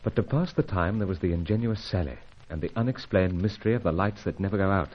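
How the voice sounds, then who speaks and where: A suave British secret agent in an old radio adventure. His voice is lowish and husky, clipped and polite. The recording sounds dull and muffled. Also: quick pace. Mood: grave narrating. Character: suave, steady English male secret agent hero. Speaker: actor and narrator of a radio serial. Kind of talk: old-time radio adventure drama acting.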